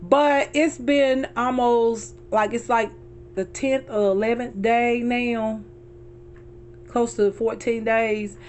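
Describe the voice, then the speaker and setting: Hoarse woman vlogger, middle-aged, slightly high voice, chatty, keeps circling back to her point, talking from a car. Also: fairly even intonation, takes it slowly, a little mellow, a little hiss or hum. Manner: chatty, addresses viewers as y'all, repeats herself. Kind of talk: casual chat to viewers.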